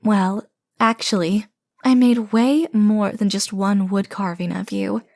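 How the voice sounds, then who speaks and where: A woman's voice: medium pitch, fairly even intonation, slow, creaky, a little mellow, dry recording. A woman playing an obsessive, bickering kidnapper sister in a comedic audio roleplay, with a theatrical, boastful and rambling delivery. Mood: smug, boastful.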